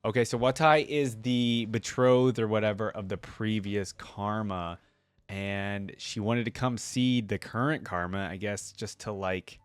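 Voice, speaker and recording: A man's voice: fairly low pitch, fairly even intonation, a little slow, clean tone, no echo. A man giving casual reaction commentary in a conversational way, thinking aloud as he goes. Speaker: YouTube reaction host.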